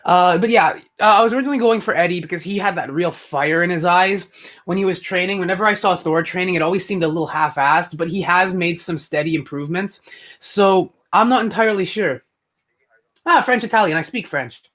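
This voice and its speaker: Young male streamer, higher-pitched, loose rambling chat with restarts. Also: fairly quick, fairly bright tone. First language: English. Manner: rambling, chatty, many fillers and restarts. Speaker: live stream host. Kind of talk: casual live commentary chat.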